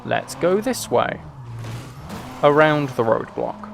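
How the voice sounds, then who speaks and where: A man's voice: fairly high pitch, big pitch swings, slow pace, gravelly, faint music behind. A man chatting casually as he streams a video game, with dry, wry asides. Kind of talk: casual livestream gaming chat and commentary.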